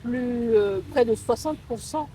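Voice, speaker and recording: A woman in her twenties with a Cameroonian accent, hosting a conversational interview in a halting, searching way. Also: a little high, a crowd in the background, low-quality recording. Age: 20s. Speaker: interviewer and host. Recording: some background ambient noise.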